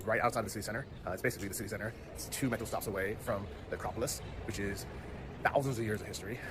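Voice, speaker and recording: A man giving a chatty, relaxed vlog update outdoors on a city street. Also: fairly low pitch, very fast. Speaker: travel vlogger. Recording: outdoor street traffic noise. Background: cars and street noise.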